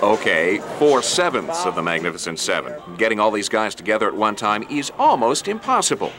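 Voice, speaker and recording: A man's voice: fairly low pitch, big pitch swings, fairly quick, a bit bright. A man reporting a TV feature, narrating scripted lines and asking questions in an inviting way.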